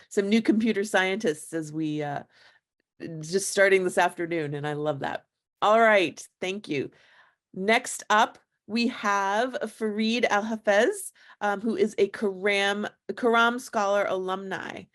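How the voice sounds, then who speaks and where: A woman hosts an online showcase over a video call and introduces each speaker. Her manner is casual, with fillers and a quick laugh at her own slips. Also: medium pitch. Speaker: event host.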